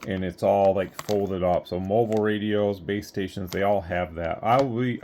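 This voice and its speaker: A man with a fairly low voice hosts a casual unboxing review. He rambles along, thinking aloud as he goes. It is recorded on a phone, with noticeable noise behind him. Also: a little mellow. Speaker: product reviewer and host. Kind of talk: casual unboxing review, talking through thoughts. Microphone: phone, handheld.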